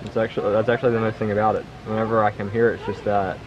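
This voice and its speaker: A man's voice: fairly low pitch, little pitch movement, dull audio. A man from North Carolina answering interview questions earnestly, often restarting his words. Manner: halting, earnest, with many restarts. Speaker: camper interviewee.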